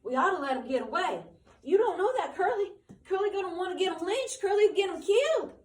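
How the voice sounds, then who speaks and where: A woman reading aloud, voicing an old, crippled ranch hand who pleads and grieves in a high, shaky voice. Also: lively intonation, moderate pace, warm tone. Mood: pleading. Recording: roomy, from far off.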